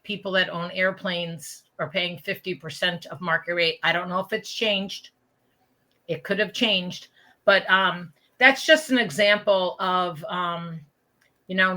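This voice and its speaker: Emphatic woman with a bright, lowish voice. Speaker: interview guest, open space campaigner. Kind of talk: conversational interview answers.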